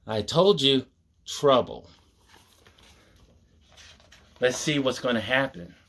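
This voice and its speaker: A man hosting storytime, reading a picture book aloud with mock-earnest asides in a measured storyteller's manner. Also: mid-range voice, animated, fairly bright tone.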